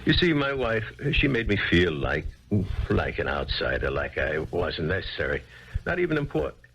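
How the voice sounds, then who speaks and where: A man's voice: low voice, very animated, normal speed, creaky, a bit bright, slight background noise, exaggerated voice. An actor playing a weary bartender who left his family, in a 1970s radio drama, rambling and searching for words. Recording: dull lo-fi 1970s radio recording.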